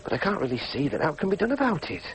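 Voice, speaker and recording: An actor playing a sullen, self-doubting young working-class son with a Yorkshire accent, brooding and argumentative in a naturalistic drama. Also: male voice, fairly high pitch, sing-song, rapid-fire, low-quality recording. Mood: brooding, wistful. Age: young adult. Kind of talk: naturalistic drama acting with monologue. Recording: faint background noise.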